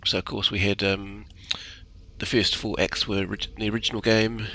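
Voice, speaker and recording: A man giving casual, unscripted video game commentary in a gravelly voice. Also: fairly low pitch, little pitch movement, moderate pace, pushed and bright.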